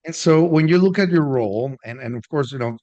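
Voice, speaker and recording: A man's voice: fairly high pitch, a little fast, darkish tone, lo-fi sound. A man speaking as the host of a business interview, in a conversational way.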